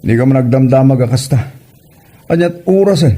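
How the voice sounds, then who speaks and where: Mellow-voiced grumbling husband played by a man. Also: mid-range voice, lively intonation, brisk, close to an everyday voice. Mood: exasperated. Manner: grumbling, complaining. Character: exasperated, hungry husband complaining about his wife. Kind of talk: Ilocano comedy drama acting.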